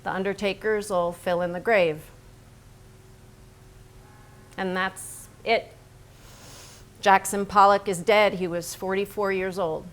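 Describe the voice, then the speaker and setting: A woman's voice: mid-range voice, steady tone, natural voice. Woman playing a blunt, intense male painter. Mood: grim, matter-of-fact. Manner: deliberate, declarative short phrases. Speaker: stage actor. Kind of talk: theatrical staged reading.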